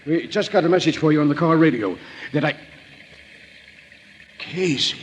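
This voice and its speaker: An actor playing a blunt, excitable and obedient police sergeant in a 1940s radio crime drama. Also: male voice, on the high side, moderate pace, close to an everyday voice. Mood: startled. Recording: faintly noisy old radio recording.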